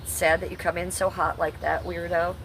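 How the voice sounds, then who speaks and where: A woman's voice: on the low side, flat delivery, moderate pace, fairly bright tone, slight background noise. A middle-aged woman from Ohio, talking bluntly and sarcastically to her chat on a livestream, swearing freely. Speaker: livestream host.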